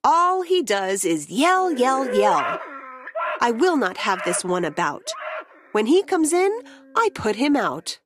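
A woman narrating a rhyming children's picture book in an expressive, deliberate storytelling way. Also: on the high side.

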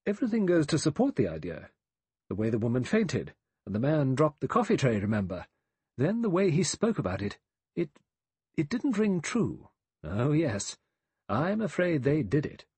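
A man's voice: fairly high pitch, huge pitch swings, normal speed, darkish tone, breathy edge, no echo, voice pushed up, exaggerated voice. A man voicing a righteous elderly English spinster in an audiobook, precise, dry and sanctimonious in manner. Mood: certain. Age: older.

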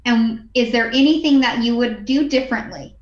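A woman's voice: on the high side, moderate pace. A woman in her thirties co-hosting a casual talk show from a room at home over a video call. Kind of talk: casual conversational interviewing. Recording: echoey, dull video-call audio.

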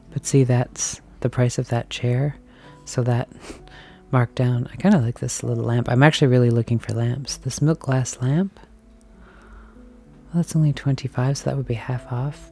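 A woman filming herself as she browses a large antique and thrift shop, chatting casually and musing aloud, sometimes dropping to a soft whisper. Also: extremely low pitch. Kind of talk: casual walk-and-talk commentary. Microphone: handheld camera mic, filming as she walks.

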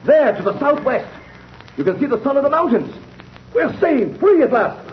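Very high-voiced, dramatic castaway. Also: male voice, very animated, moderate pace. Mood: urgent excitement. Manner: dramatic, exclamatory. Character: weary male castaway turned wildly excited. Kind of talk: 1930s radio acting. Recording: muffled, noisy 1930s radio recording.

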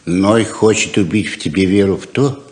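An actor playing a gentle, protective old father, grave and soothing, in a 1970s Soviet radio play. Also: low-pitched, normal speed, close to an everyday voice. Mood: grave, consoling.

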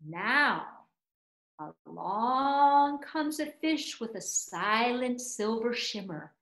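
A woman in her fifties speaking as a children's storyteller, with a highish, very animated voice. She phrases things slowly and emphatically, recorded in a roomy space at home. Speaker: storyteller and presenter. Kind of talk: expressive storytelling for young children. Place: room at home. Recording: roomy indoor echo.

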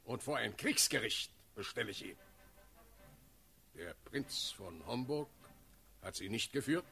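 An actor playing a stern, authoritative Elector in a classical radio drama, declaiming with a husky, creaky voice. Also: male voice, mid-range voice, expressive, unhurried, sharp tone, soft background music, heavily acted. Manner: declamatory, authoritative verse delivery. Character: stern, commanding male ruler, an Elector passing judgment.

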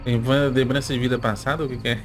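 A man's voice: medium pitch, little pitch movement, quick pace, a crowd in the background. A young man with a Brazilian accent giving casual, slangy reaction commentary with chuckling asides, close to a desk mic. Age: young adult. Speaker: reaction video host. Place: small indoor gaming setup. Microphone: close desk mic with headphones.

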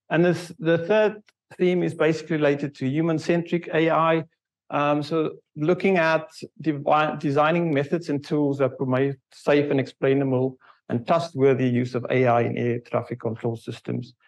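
A middle-aged man presenting at a conference in a lecture room, speaking haltingly and often correcting himself. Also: medium pitch, darkish tone, low-quality recording. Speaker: conference speaker, research software engineer. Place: university lecture room, indoors.

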